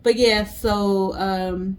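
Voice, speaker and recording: A woman's voice: mid-range voice, steady tone, very slow. A woman co-hosting a storytime show in casual couple chat, conversational, asking questions and often saying "like". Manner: conversational, asks questions, frequent "like".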